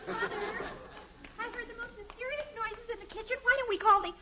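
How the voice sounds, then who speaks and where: An actress playing an excitable, inquisitive young American daughter in a 1950s radio sitcom, girlish and wide-eyed. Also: very high voice, moderate pace, a loud crowd. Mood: curious. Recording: very noisy, muffled old radio recording.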